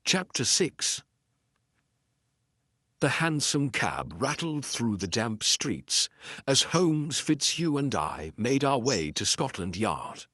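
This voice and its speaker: Slow, expressive male storyteller. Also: medium pitch, dry recording, clean studio sound. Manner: measured, deliberate storytelling. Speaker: audiobook narrator. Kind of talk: scripted dramatic story narration.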